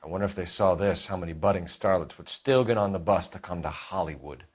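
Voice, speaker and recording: An actor playing a sober police detective, controlled and probing, in a video game cutscene. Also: male voice, low voice, fast talker, husky.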